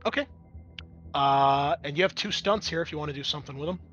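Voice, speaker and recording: A man's voice: on the high side, fairly quick, a bit bright, muffled recording, faint music behind. A man working as game master, narrating a tabletop roleplaying game in a casual, off-the-cuff way.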